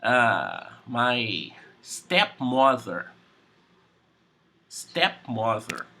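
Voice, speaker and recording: A Brazilian man with a Portuguese accent teaching English on a video call, speaking in slow, simple sentences and repeating words. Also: mid-range voice, very animated, sharp tone. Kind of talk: online English class conversation.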